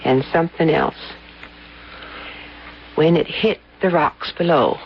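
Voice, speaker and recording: An actor playing a grave colonel in an old radio drama, deliberate in manner, with a creaky voice. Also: male voice, on the high side. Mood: grave, hushed. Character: grave male colonel recounting strange events. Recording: dull old radio recording, faint hiss.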